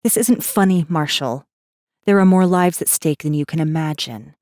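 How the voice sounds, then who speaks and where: A woman's voice: low-pitched, moderate pace, darkish tone, no echo, studio quality, lightly acted. An actress playing a guarded, wry rebel messenger, narrating her own story in an intimate, wary, sardonic way for a space fantasy audiobook. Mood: urgent, firm. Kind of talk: first-person dramatic audiobook narration.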